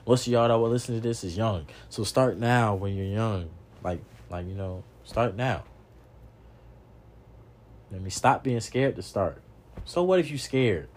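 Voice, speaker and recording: A man's voice: lowish voice, darkish tone. A young man hosting a podcast, giving a preachy motivational monologue, repeating himself and swearing casually. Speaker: podcast host.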